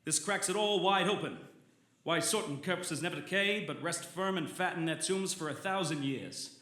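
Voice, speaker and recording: A man playing a confident, obsessive gentleman occult researcher in an old-time radio drama, with a smug, declamatory delivery. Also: fairly high pitch, moderate pace. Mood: zealous, determined. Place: theater stage, live performance. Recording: roomy live stage sound.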